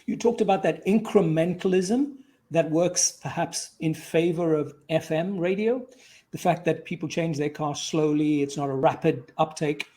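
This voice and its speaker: A man with a slightly high voice hosts an interview. He speaks conversationally and discursively, building up his questions with asides and qualifications. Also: normal speed, warm tone. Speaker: interviewer, host.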